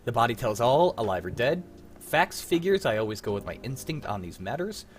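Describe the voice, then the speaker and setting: A man's voice: mid-range voice, big pitch swings, brisk, slight background noise. A man hosting a casual livestream, chatting informally with friends and running a trivia game in an easygoing way. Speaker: host and quizmaster.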